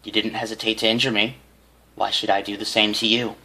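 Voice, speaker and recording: A man's voice: on the low side, flat delivery, a little fast, pushed and bright, breathy edge, exaggerated voice. A man voicing a bullied young male unicorn, defiant and taunting, in a storybook reading. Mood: cold, menacing.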